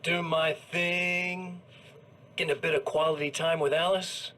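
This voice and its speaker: A man's voice: fairly high pitch, flat delivery, pushed and bright, slight background noise. An actor playing a gleeful, menacing evil doppelganger in a video game cutscene, taunting and drawing out his words in mockery. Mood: gloating. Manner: taunting, drawn-out and mocking.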